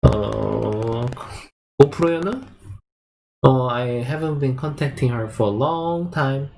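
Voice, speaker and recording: A young man from South Korea, a native Korean speaker with a Korean accent, chats casually in halting English as he hosts a livestream as a Go teacher and answers viewers. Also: medium pitch. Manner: halting, non-fluent English phrasing. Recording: clearly noisy livestream audio.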